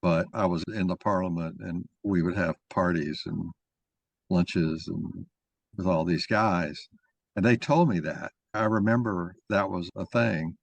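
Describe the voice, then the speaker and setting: A man arguing a point in informal discussion, halting and rephrasing as he goes as the moderator. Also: low voice, creaky, breathy edge, dry recording. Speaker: moderator and main speaker of a mock-trial discussion.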